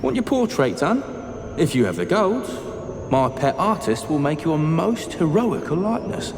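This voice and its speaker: A swaggering smuggler merchant in a fantasy game. His highish voice swoops wildly through teasing patter, in an echoey space. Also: very animated, moderate pace, background noise. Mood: sly, jovial. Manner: folksy, teasing salesman patter. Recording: echoey cavern reverb.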